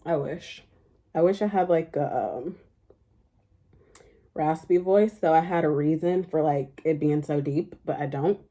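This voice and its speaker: Woman with a low mellow voice. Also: unhurried, pauses often. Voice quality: fairly deep speaking voice. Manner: rambling, chatty, heavy use of "like" fillers. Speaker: beauty vlogger. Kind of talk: casual makeup tutorial chat.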